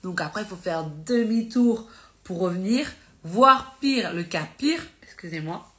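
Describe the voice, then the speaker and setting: A woman's voice: medium pitch, animated, unhurried, a bit bright. A woman with a slight cold, teaching about faith on a live stream in an informal, conversational way, full of fillers and self-corrections. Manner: earnest and encouraging.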